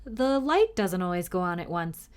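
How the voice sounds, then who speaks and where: A woman's voice: fairly low pitch, big pitch swings, moderate pace, a little mellow, clean tone. Woman reading as a guarded, moody young schoolboy. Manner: muttering, reluctant.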